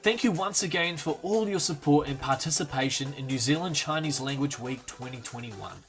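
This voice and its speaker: Male narrator for a promo clip, smooth upbeat scripted read over soft background music. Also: fairly high pitch, normal speed.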